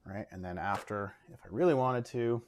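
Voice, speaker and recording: A relaxed Canadian man with a fairly low voice talks a viewer through a drawing, pausing with easy fillers as he works. He sounds like he is demonstrating at a table in his home studio. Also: a little hiss or hum. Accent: Canadian English. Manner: relaxed, thinks aloud with fillers while drawing. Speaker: art educator. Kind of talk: casual art demonstration.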